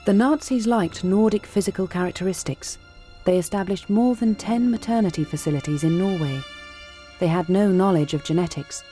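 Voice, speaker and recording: A woman narrating a documentary script in a measured, formal way. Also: on the low side, mellow, faint music behind.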